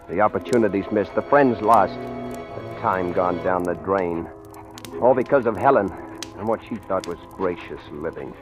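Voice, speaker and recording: A man's voice: low voice, lightly acted. An actor playing a nervous, bitter husband trapped by gangsters in an old radio thriller, hesitant and telling his own story. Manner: hesitant, stammering under pressure. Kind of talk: 1940s radio thriller acting. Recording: old radio recording with loud music.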